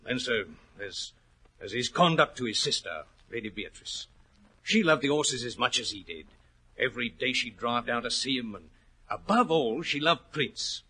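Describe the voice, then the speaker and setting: An actor playing a worried, plain-spoken racehorse trainer in a 1940s radio drama, earnest and respectful, with rustic turns of phrase. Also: male voice, mid-range voice, animated, normal speed, bright, forceful tone. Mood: troubled, confiding. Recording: old 1940s radio recording.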